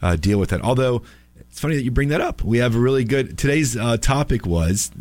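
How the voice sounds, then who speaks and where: A man with a slightly raspy voice, a little under the weather, hosts a live radio call-in show as an attorney, chatting in an informal, halting, wry way. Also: medium pitch, animated, darkish tone, no echo. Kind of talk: casual live radio chat. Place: radio studio.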